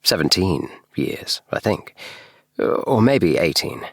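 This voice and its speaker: A man narrating a bedtime story in a measured, expressive storytelling manner, recorded in a small booth. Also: low voice, creaky, dry recording, clean studio sound. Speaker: audiobook narrator.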